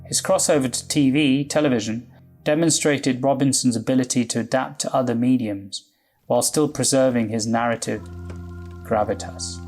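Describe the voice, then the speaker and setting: A man hosting a podcast, reading scripted narration in a measured, formal way. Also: mid-range voice, steady tone, darkish tone. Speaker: podcast host. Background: prominent guitar music.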